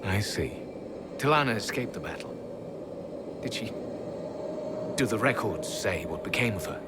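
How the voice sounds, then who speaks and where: A man playing a weary, gentle inquisitor out of the distant past in a video game, reflective and sorrowful. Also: expressive, normal speed, lightly acted. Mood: wistful. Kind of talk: video game cutscene acting. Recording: loud rumbling background noise.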